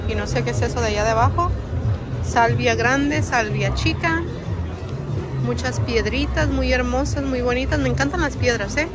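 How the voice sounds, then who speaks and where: A woman, filming a casual walking tour on her phone and chatting to her viewers as friends. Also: a little high. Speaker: vlogger. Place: large outdoor swap meet. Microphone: phone camera held while walking. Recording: outdoor market with crowd and music. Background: crowd chatter and music at the market.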